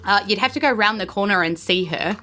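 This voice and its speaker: Kiwi woman game master, bright voice, chatty and informal, music underneath. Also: medium pitch, fast talker. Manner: informal, colloquial. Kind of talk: casual tabletop game narration.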